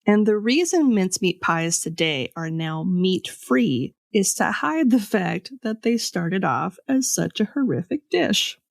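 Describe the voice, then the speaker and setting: A woman podcast host telling a scripted story in a conversational way, with pauses for dramatic effect. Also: medium pitch, very animated, gravelly, no echo, clean studio sound. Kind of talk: scripted storytelling with casual asides.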